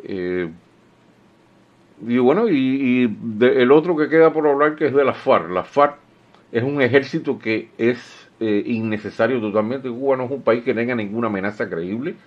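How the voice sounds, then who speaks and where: A man's voice: mid-range voice, normal speed. A middle-aged man with a Cuban accent giving solo political commentary, sarcastic and indignant, with many fillers and restarts, recorded at home on a studio mic. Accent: Cuban Spanish. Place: small home room. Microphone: studio mic with headphones. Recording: dull, lo-fi sound.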